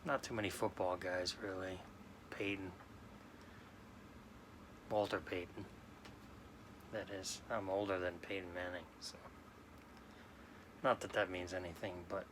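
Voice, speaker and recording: A man in his late forties from New York, talking slowly and haltingly in a sad, subdued way as a sports card vlogger. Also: deep voice, flat delivery, noisy background. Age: 40s. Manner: halting, subdued, many restarts. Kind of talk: casual personal talk to camera.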